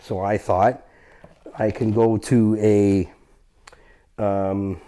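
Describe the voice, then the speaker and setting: Male restoration host, warm dark tone, folksy and casual, explains step by step with emphatic repeats. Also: fairly low pitch, level tone, drawn-out words. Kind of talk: hands-on repair explainer, casual talk to viewers.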